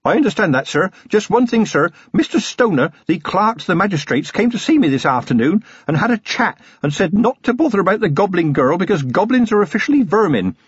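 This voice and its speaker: A man's voice: sits high, very animated, brisk, hardly any pauses, husky, no echo, lo-fi sound, pitched above the performer's own voice, full character voice. A man voicing an eager, naive young policeman with an earnest, rambling and anxiously deferential manner, in an audiobook story. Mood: polite, earnest. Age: young adult.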